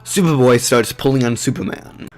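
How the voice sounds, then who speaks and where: A man's voice: medium pitch, normal speed. A man narrating a scripted audio drama.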